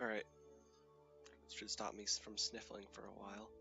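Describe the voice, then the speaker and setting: A sniffly young man with a stuffy cold talks through his gameplay in a rambling, hesitant way, his voice sitting on the low side. He speaks into a desk mic at his computer, with game music playing clearly behind him. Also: monotone, moderate pace, dull audio. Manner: rambling, hesitant, many fillers. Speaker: gaming channel host. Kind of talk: casual gameplay commentary.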